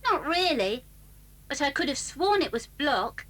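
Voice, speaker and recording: A woman playing an excitable, wondering young schoolboy in a children's audio drama, with a wide-eyed, exclamatory delivery. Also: high-pitched, measured pace, exaggerated voice. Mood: alarmed, excited.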